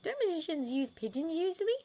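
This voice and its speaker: Woman voicing a nervous, soft-spoken young woman, higher than her narration, uncertain delivery. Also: sing-song, brisk, full character voice. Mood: shy. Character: shy, nervous young woman, hospital worker.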